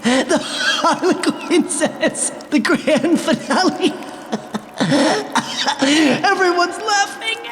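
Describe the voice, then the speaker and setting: A man's voice: very high-pitched, extremely slow, pauses often, very noisy, voice pushed up, heavily acted. A man playing a drugged, dying young man in a radio play, gasping broken phrases through helpless laughter and pain. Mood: hysterical, agonized.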